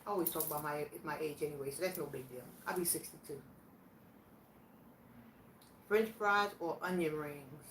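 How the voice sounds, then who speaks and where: A woman's voice: sits low, a bit bright. A woman in her sixties, from Virginia, casually working through a question challenge in a plainspoken, reflective way. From Virginia, USA. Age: 60s. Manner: plainspoken, slow and reflective, answering questions aloud. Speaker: vlogger. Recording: echoey room, voice from a distance, faint background noise.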